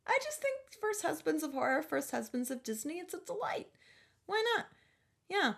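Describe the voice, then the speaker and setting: A woman's voice: high-pitched, big pitch swings, moderate pace, gravelly, a bit bright. A woman co-hosting a podcast, chatting casually in a wry, gushing and emphatic way with plenty of slang. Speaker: podcast co-host and comedy performer. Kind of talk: casual podcast chat about horror films.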